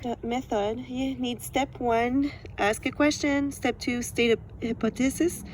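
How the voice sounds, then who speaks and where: A woman's voice: on the high side, fairly even intonation, slight background noise. A woman with a French accent reading aloud from a book and commenting on it, laughing often. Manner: laughs often, measured and deliberate.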